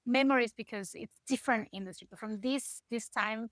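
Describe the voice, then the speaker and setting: A middle-aged woman from Ecuador, speaking English with an Ecuadorian Spanish accent as a guest musician, lively and sometimes searching for words. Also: fairly high pitch, a little fast, no room sound. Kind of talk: casual interview chat.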